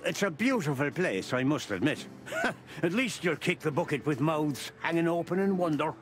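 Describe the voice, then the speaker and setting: A man's voice: a little high, lively intonation, normal speed, over music, close to an everyday voice. An actor playing a jovial, sardonic male informant in a noir detective game. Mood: sardonic cheer. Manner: jovial, sardonic, turns of phrase like "so it is". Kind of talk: noir adventure game voice acting.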